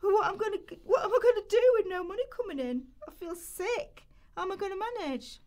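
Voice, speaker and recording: A woman's voice: very high voice, expressive, quick pace, darkish tone. A woman playing a desperate young mother in a radio play, pleading in a flustered, apologetic way. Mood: panicked. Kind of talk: amateur radio play rehearsal.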